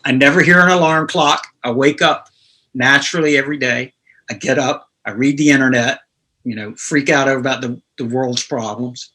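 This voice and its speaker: A man's voice: mid-range voice, moderate pace, sharp tone, breathy edge, poor audio. A man in his sixties from North Carolina, a guest telling rambling anecdotes in a casual interview. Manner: rambling, anecdotal, laughs through stories. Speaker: guest guitarist.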